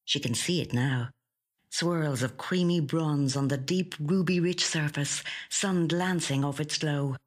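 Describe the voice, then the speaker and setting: An actor with a slightly husky, breathy voice reading a literary short story in a measured, hushed way. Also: medium pitch. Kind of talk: scripted literary narration.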